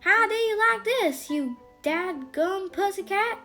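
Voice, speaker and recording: Lady doing a cocky storybook cowboy, real high voice, loud boastful hollering, music under it. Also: animated, slow pace, exaggerated voice. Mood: gleeful taunting. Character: bold, cocky, whooping young cowboy man.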